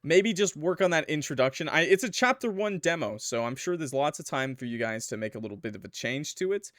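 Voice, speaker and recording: Young man gaming, voice going a bit hoarse. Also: on the high side, animated, fairly bright tone, no echo, clean studio sound. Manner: chatty, rambling, quick to laugh. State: losing his voice. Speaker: gaming YouTuber. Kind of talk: casual let's-play commentary.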